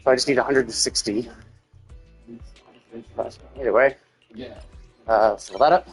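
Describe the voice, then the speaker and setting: Husky man rambling on a game stream. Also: medium pitch, unhurried. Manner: rambling, thinking aloud. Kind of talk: casual livestream gameplay chat. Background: music.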